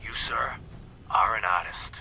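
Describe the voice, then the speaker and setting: An actor playing a cocky, menacing crime boss dictating messages in a video game, theatrical and sardonic with emphatic pauses. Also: male voice, gravelly. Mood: mocking admiration. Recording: noisy taped message with rumbling ambience.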